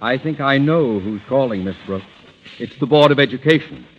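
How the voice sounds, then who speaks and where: A man's voice: mid-range voice, lively intonation, moderate pace, lightly acted. Man playing a blustering pompous principal, muffled old radio. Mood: exasperated. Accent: American. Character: pompous, irascible middle-aged male high school principal. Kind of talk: old-time radio comedy acting. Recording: muffled vintage radio recording, faint noise.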